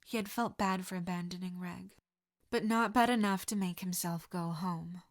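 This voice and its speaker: A woman reading fan fiction aloud as an audiobook narrator, steady and expressive. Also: fairly low pitch, normal speed. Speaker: podfic reader.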